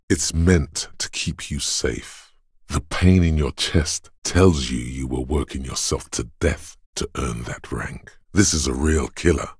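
An extremely low, husky voice, speaks as the grave inner voice of a battered detective's body in a video game. The delivery is measured and stoic, with a heavy gravelly rasp. Each line lands deliberately, in scripted second-person narration. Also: male voice, no echo, studio quality, exaggerated voice. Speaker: voice actor.

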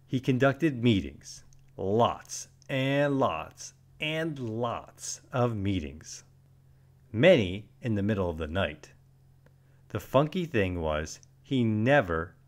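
A man reading a children's storybook aloud as narrator, with deliberate, dramatic emphasis and expressive delivery. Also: fairly low pitch.

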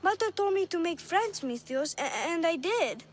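A woman playing a naive, lonely little orphan girl in a video game, with an earnest, halting, childlike way of speaking. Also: very high voice, animated, slight background noise. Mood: sad, earnest. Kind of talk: video game cutscene dialogue.

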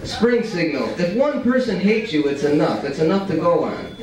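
A man's voice: on the high side, sing-song, normal speed. A young man giving a live reading of his writing in a colloquial, profane way. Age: young adult. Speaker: writer reading his work. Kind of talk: live reading of prose and poetry at a microphone. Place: small dim cafe, indoors. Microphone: stand microphone, picked up by far camera. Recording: echoey cafe, distant camcorder, crowd chatter. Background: audience chatter.

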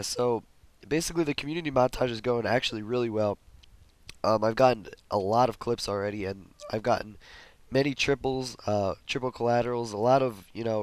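A young man with a sick, hoarse voice, rambling through casual gameplay commentary with many fillers and restarts. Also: mid-range voice, darkish tone, no room sound. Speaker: gaming commentator.

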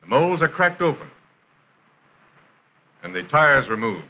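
An American man reading scripted narration for a 1930s industrial film in a formal, measured announcer style. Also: on the high side, big pitch swings. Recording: dull, noisy 1930s film soundtrack.

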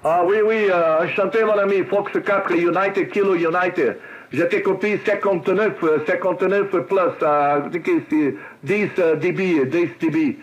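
A Brazilian man, a native Portuguese speaker, chatting as a radio operator in a halting, repetitive way. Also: sits high, steady tone, highs cut off, slight background noise. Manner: halting, repetitive foreign-language speech. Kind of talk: casual radio contact chat with callsign spelling. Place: cluttered home radio desk indoors.

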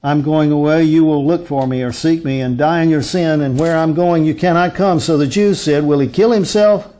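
A man in his sixties preaching as a pastor, teaching from scripture in a deliberate, emphatic manner with rhetorical questions and pauses. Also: on the high side, steady tone, mellow, rough recording. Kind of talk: sermon, expository Bible teaching from a lectern. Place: indoor lectern on a curtained stage.

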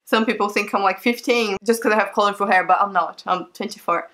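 A woman's voice: mid-range voice, moderate pace, fairly bright tone. A woman in her twenties hosting her vlog from a sofa at home, sweet and earnest with a giggly way of talking. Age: 20s. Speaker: vlogger, channel host. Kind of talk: casual chat. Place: indoors, living room sofa.

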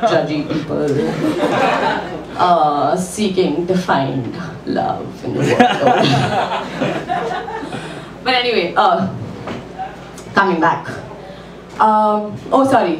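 A woman's voice: lowish voice, a little hiss or hum. A woman from India in her thirties with an Indian accent, doing a self-deprecating stand-up set with ums and little laughs on a small club stage. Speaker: stand-up comic at an open mic. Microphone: mic on a stand, filmed from the room. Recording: echoey club room, voice from far off.

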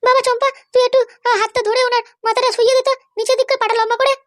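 A man voicing a caring cartoon aunt who coaxes and reassures in a squeaky voice. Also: level tone, very fast, fairly bright tone, no room sound, clean studio sound. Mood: caring, reassuring. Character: caring, soothing cartoon aunt tending the wounded. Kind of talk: amateur comedy cartoon dubbing.

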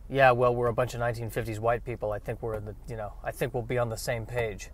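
A man playing a meek, awkward sidekick who mutters frankly in a hesitant deadpan in a comic radio play. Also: mid-range voice, fast talker, slight background noise, voice pushed down, close to an everyday voice.

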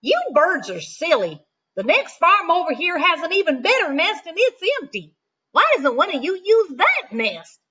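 A woman's voice: very high voice, sing-song, normal speed, noisy background, voice pushed up. A woman voicing a sly, scheming male storybook cat in an exaggerated, urgent, coaxing manner.

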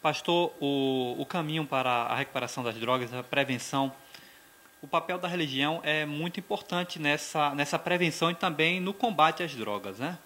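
A young man interviewing a pastor for local TV, asking halting questions with fillers into a handheld mic in a small studio. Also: fairly high pitch, sharp tone. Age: young adult. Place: small studio in front of a logo backdrop.

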